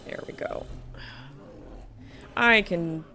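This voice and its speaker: A woman's voice: lowish voice, drawn-out words, bright, forceful tone, a little hiss or hum. A tired, slightly sniffly woman streaming a game, chatting casually, reading chat aloud and laughing often. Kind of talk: casual gaming livestream chat.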